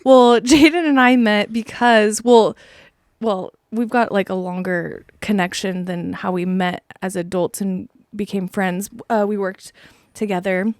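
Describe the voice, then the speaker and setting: A woman's voice: medium pitch, steady tone, a little slow, no room sound, studio quality. A woman in her thirties hosting a podcast, chatting casually with a friend and laughing often. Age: 30s. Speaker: podcast host. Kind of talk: casual interview conversation.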